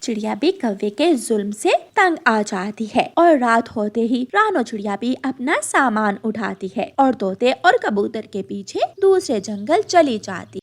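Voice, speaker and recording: A woman's voice: fairly high pitch, very animated, a little slow, hardly any pauses. A woman narrating a scripted children's story.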